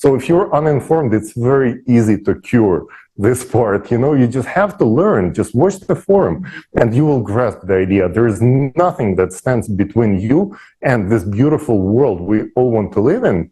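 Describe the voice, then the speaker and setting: A man with a soft-edged, mellow voice talks in an unscripted panel discussion. He is opinionated and puts his points emphatically as a guest speaker. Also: mid-range voice, lively intonation, a little fast, breathy edge, low-quality recording.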